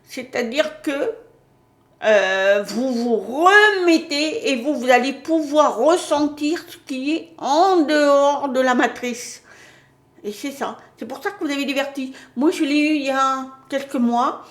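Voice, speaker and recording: A woman's voice: sits high, unhurried, roomy sound. A woman giving a casual spiritual talk to her viewers, hesitant, with many fillers and restarts. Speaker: spiritual channel host.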